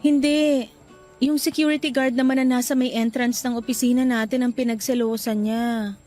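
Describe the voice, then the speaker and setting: A woman acting as a meek young Filipino girlfriend in a radio drama. Her voice is fairly high, apologetic and soft-hearted, quick to excuse and forgive. Faint music runs behind her. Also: fairly even intonation, natural voice. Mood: hurt, explaining.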